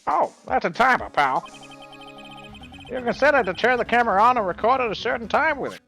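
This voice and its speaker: A performer voicing a scruffy, eager, bumbling male police detective with a folksy, excitable manner in a live game fan dub. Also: mid-range voice, brisk, creaky, exaggerated voice. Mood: earnest. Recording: music behind the voice.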